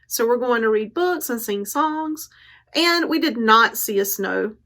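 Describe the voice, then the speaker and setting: A woman with a high voice hosts a storytime for young children. She takes her time, with an unhurried pace, as she presents and reads aloud. Her words are simple and aimed straight at the children, inviting them along. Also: expressive, a bit bright. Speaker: children's librarian hosting storytime.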